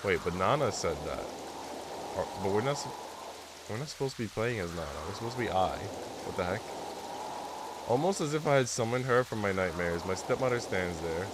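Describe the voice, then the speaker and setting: A man's voice: lowish voice, lively intonation, fairly quick, noisy background. A man with a congested, sick voice livestreaming a visual novel, switching between reading narration aloud and slangy, rambling casual chat. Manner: informal, slangy, rambling asides. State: congested with a cold. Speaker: live streamer playing a visual novel.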